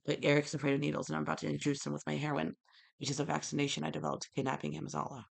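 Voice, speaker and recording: A young man talking straight to camera in a disjointed, rambling monologue that breaks into sudden loud outbursts. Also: fairly high pitch, completely flat delivery, rapid-fire, gravelly. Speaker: vlogger.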